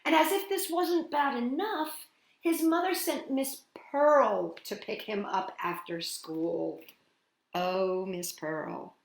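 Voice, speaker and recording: Slow playful lady reading to kids, really animated, bit of a roomy echo. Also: fairly high pitch. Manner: playful, expressive, drawn-out words with chatty asides. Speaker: children's storytime host. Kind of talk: animated picture-book read-aloud and children's singing.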